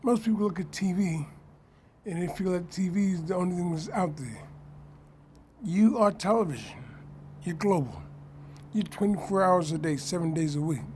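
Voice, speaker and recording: A man's voice: high-pitched, moderate pace, long pauses, a little mellow, slight background noise. New man, emphatic live-stream talk. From New York. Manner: emphatic, repetitive, self-promoting. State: getting over a cold. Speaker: fashion designer and business owner. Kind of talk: casual live-stream monologue.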